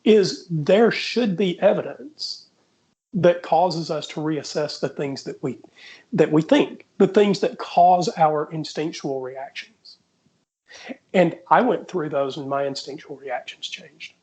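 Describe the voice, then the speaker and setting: A man in casual debate, speaking in a measured, deliberate and conciliatory way as he orders his points. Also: on the high side, darkish tone.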